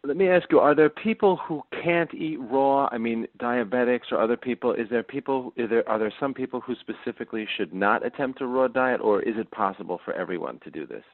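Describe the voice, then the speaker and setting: A man's voice: medium pitch, brisk, hardly any pauses, no echo. A man interviewing a guest and asking curious follow-up questions. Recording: dry phone-like line.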